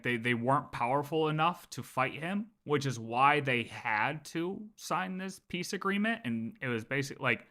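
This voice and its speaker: A livestreamer reacting casually to a video, with a bright, sharp voice. The delivery is halting and conversational, circling back to repeat and rephrase thoughts as they form. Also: medium pitch.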